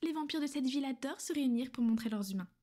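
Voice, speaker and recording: Casting: a coaxing vampire lady, soft half-whispers, a touch high, voiced by a woman. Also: animated, racing. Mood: teasing. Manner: soothing, coaxing and possessive. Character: gentle, reassuring female vampire mistress. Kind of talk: ASMR roleplay.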